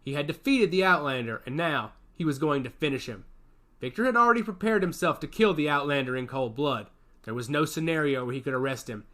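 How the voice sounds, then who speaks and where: A man's voice: on the high side, animated, fairly quick, pushed and bright. A man reading a science fiction action story aloud as its narrator, with a dramatic storytelling delivery.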